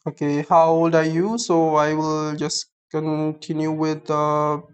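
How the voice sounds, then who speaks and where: Young Pakistani man, Urdu native, demoing an app; smooth, level delivery, halting and explanatory. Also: a little high, completely flat delivery. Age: young adult. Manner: halting, explanatory, starts many phrases with "so". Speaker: app reviewer. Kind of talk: screen-recorded app tutorial.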